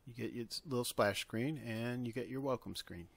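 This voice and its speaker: A man hosting an informal software tutorial, talking step by step in an instructional way. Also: medium pitch, fairly quick, creaky, no room sound. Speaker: tutorial host.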